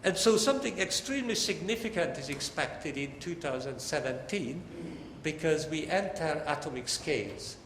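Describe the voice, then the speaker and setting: An older man giving a technical academic lecture in a halting, deliberate way. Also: on the high side, animated, fairly bright tone. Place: large lecture hall. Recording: echoey hall with long reverb, background noise.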